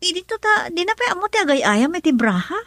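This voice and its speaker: A woman's voice: very high voice, very animated, fast, fairly bright tone, no room sound, clean studio sound, full character voice. A woman playing a young adult daughter who questions her mother and sounds weary from illness in a radio drama. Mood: questioning. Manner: questioning, then faint and tired.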